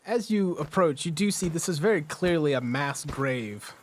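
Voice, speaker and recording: A man's voice: on the high side, lively intonation, normal speed, a little hiss or hum. A man improvising narration as game master of a tabletop role-playing game podcast.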